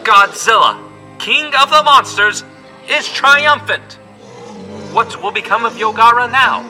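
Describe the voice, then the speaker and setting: Man playing a high, sharp, excited news reporter. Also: unhurried, faint music behind, exaggerated voice. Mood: excited, awed. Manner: dramatic, breathless announcing. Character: excited, melodramatic male live news reporter. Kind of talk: live news commentary in audio drama.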